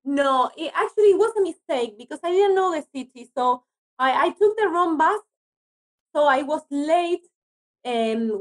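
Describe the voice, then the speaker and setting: High-voiced woman telling a story. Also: rough recording. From Argentina. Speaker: podcast guest, actress. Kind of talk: conversational storytelling in an interview.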